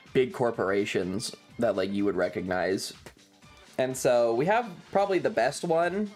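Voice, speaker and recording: Slow-talking man, casual streamer. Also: medium pitch. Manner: sweary, self-deprecating. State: slightly tired. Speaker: livestream host. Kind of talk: casual rambling stream chat.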